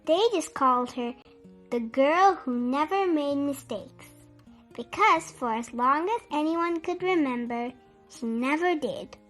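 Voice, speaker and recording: High, bright-voiced woman reading a storybook. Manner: animated, deliberate, enunciated storytelling. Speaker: storyteller reading a children's book aloud. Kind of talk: expressive read-aloud for young children. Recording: music behind the voice. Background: music.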